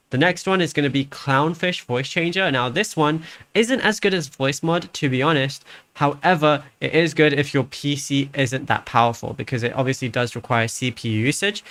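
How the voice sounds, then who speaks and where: A man's voice: medium pitch, fairly bright tone. A man hosting a how-to tutorial, narrating the steps in an informal, matter-of-fact way. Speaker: tutorial host.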